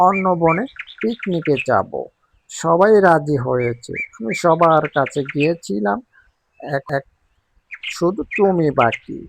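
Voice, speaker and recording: An eager male tailorbird from a cartoon, voiced by a man, with a fairly high voice. He is friendly, earnest and coaxing, over faint noise. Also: creaky.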